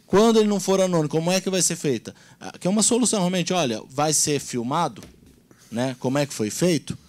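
A man's voice: fairly high pitch, expressive, fast, darkish tone. A man, earnestly explaining points at a council meeting on a handheld mic. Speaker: meeting participant. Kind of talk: public meeting discussion.

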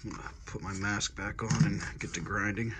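A man in his thirties casually vlogging his sailboat restoration, chatty and relaxed, swearing and laughing to himself while holding the camera at arm's length. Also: on the low side, brisk, background noise. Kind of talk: casual self-filmed vlog narration. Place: inside a small boat cabin and outdoors in a yard. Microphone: handheld action camera at arm's length.

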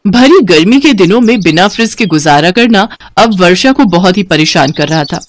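A woman's voice: fairly low pitch, expressive, normal speed. A woman narrating a moral story as a scripted storyteller. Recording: music behind the voice. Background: background music.

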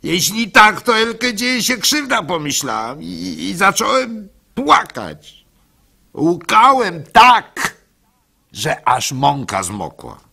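An actor playing a gullible, soft-hearted village baker who tells his story in a halting, plaintive way for a radio play. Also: high voice, big pitch swings, creaky, slightly rough, heavily acted. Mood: pleading, self-doubting. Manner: halting, stammering, plaintive, swinging between hushed and loud. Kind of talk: dramatic first-person storytelling for a radio play.

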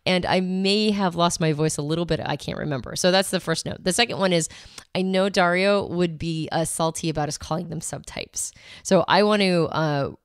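A woman with a lower voice co-hosts a podcast, talkative and reflective in a laid-back way. She sounds tired, her voice a little worn. The recording is dry and close. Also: normal speed, clean tone, dry recording. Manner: chatty, reflective, chill. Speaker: podcast co-host. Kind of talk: casual podcast chat.

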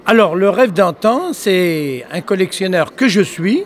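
An older man who rents out vintage cars, explaining his service slowly into a handheld mic at a fair. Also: high-pitched, lively intonation, slight background noise. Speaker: vintage car rental owner. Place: indoor exhibition hall.

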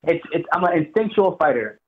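A man's voice: fairly high pitch, a little fast, slightly rough. A young man from Jamaica, raised in Florida, calling in as a guest fighter and talking loosely, often repeating words. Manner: relaxed, rambling, repeats words. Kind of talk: phone-in interview. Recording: tinny phone line.

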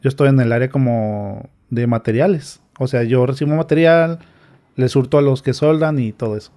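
Young man, mellow voice. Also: mid-range voice, moderate pace. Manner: animated, acts out quoted comments in a raised voice. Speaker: guest. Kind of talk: casual storytelling. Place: small studio with a sofa. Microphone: studio mic on a boom arm, wearing headphones.

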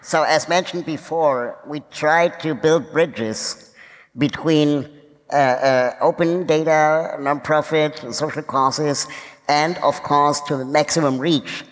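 A man's voice: on the high side, fairly even intonation, takes it slowly. A man giving a casual keynote talk on a conference stage, with frequent restarts. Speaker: keynote speaker. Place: large conference hall stage. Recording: reverberant hall.

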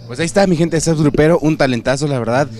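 A man's voice: fairly high pitch, quick pace, darkish tone. A young Mexican man interviewing a guest at an indoor expo booth with a handheld mic, asking friendly, slangy questions. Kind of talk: casual entertainment interview.

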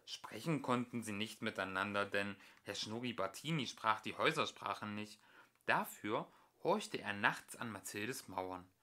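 A young man reading a children's storybook aloud as an amateur narrator, with expressive storytelling. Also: fairly low pitch, measured pace.

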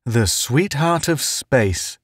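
A man plays a worn-down, sardonic spaceman from a lonely outpost. He talks slowly in a middle register, sullen and muttering, with a bitter edge, recorded dry. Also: mid-range voice, animated, a little mellow, no room sound, close to an everyday voice. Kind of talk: audiobook character voice.

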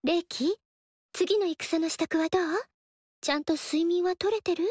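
An actress voicing a gentle, friendly young noblewoman in a video game, talking warmly and chattily. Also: extremely high pitch, normal speed, no room sound, clean studio sound. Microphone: studio mic, dry.

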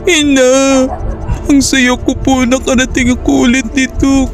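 An earnest young villager from a storybook cartoon, voiced by a man, pitched high with little rise and fall. He sounds a bit distant, with soft music in the background. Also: little pitch movement, measured pace. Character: reformed lazy village youth, eighteen.

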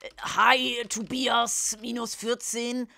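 A woman voicing a childish, theatrical male villain, a dark lord who is self-important and easily distracted, in a comic audio drama. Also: on the high side, level tone, slow, vocal fry, exaggerated voice. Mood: distracted, smug. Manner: megalomaniac, easily distracted, shouty.

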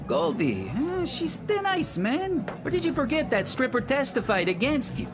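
Swooping, jeering street lowlife. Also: male voice, wildly swooping pitch, normal speed, full character voice. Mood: taunting. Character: crude, taunting male street crony of an ex-convict. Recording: music and crowd noise behind voice.

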